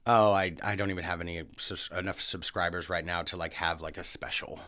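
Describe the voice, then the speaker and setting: Bright-toned low-voiced man, chatty guest. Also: steady tone. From Louisiana. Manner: chatty, curious, self-deprecating, halting restarts. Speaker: podcast guest, local activist. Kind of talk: casual conversation. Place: podcast studio. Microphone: studio microphone.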